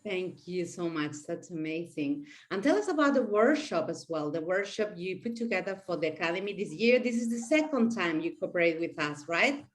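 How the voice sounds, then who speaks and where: A woman's voice: lowish voice, expressive, normal speed, hardly any pauses. A woman interviewing a guest speaker, asking questions in an encouraging way.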